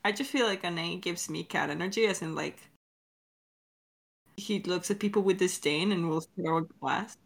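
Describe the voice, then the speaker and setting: A woman in casual, unscripted chat with a co-creator, giggly and teasing, with an expressive way of talking. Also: on the low side, normal speed, creaky. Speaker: audio drama creator answering listener questions.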